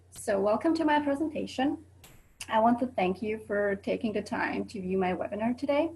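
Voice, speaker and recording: A woman's voice: medium pitch, a little mellow, a little hiss or hum, low-quality recording. A young woman from Switzerland with an accent, earnestly giving a student webinar presentation over a video call, with frequent ums. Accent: Swiss.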